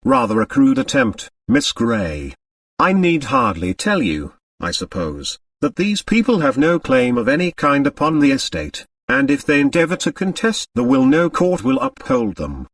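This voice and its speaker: A man voicing a shrewd, kindly elderly lawyer who gives advice in a measured, formal, fatherly manner. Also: mid-range voice, huge pitch swings, dry recording, clean studio sound, far from the mic. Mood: wry.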